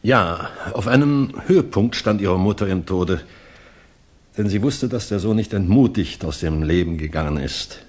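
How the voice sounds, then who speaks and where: An actor playing a grave, observant older gentleman, narrating and speaking formally in a measured 1960s German radio drama style. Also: sits low, lively intonation. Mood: earnest, consoling. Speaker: narrator and character.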